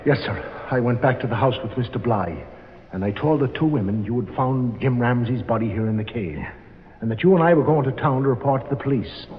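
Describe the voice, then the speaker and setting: An actor playing a loyal, superstitious sidekick to a detective in a 1940s radio drama. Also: male voice, medium pitch, a little fast, lightly acted. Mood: eager, uneasy. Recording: muffled, reverberant old radio, faint noise.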